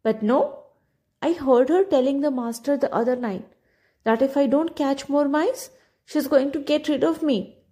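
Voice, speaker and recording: A woman putting on a forlorn old storybook cat, mewing and complaining in a voice lifted well above her own. The delivery is slow and warm. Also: high voice, voice pushed up. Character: tired old female cat, plaintive and self-pitying.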